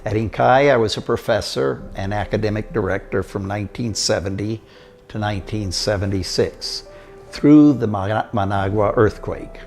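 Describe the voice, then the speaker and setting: Older man with a gravelly low voice. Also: normal speed, darkish tone, faint music behind. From Costa Rica. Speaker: businessman telling his life story. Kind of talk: prepared autobiographical narration.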